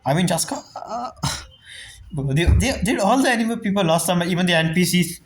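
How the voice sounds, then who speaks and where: Excitable, high-voiced man reacting to a game. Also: normal speed. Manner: excitable, swears, exclaims. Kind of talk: live gameplay reaction. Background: game music.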